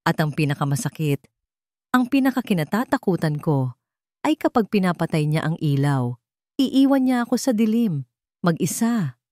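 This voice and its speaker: A woman voicing a troubled rich young man in an audio drama, earnest and halting as he confesses an old fear. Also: on the low side, sing-song, darkish tone, no room sound, clean studio sound. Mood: pained.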